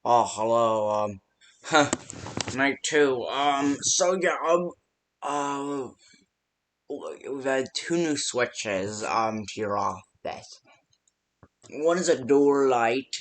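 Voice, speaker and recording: A man's voice: medium pitch, lively intonation, a bit bright. A man playing a nervous, hesitant coworker who leaves stammered security tips by phone in an amateur horror game. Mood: hesitant, explaining. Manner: hesitant, halting, stammering delivery. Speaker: voice actor in fan-made game.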